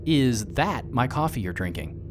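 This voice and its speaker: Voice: mid-range voice, very animated, unhurried, music in the background. An actor playing a playful, teasing male mercenary commander with a flippant, slangy manner.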